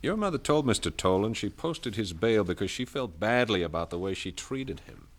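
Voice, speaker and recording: A dogged police lieutenant. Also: male voice, lowish voice, very animated, normal speed. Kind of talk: 1970s radio drama acting.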